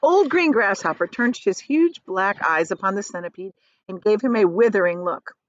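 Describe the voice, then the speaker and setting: A woman's voice: medium pitch, animated, normal speed, no echo. A woman reading a children's story aloud expressively, like a teacher. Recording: slightly dull, lo-fi audio.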